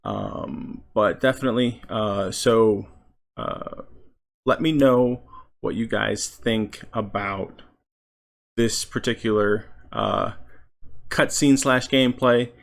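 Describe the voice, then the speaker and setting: A man giving casual video game commentary as a channel host, halting as he thinks aloud. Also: on the low side, vocal fry.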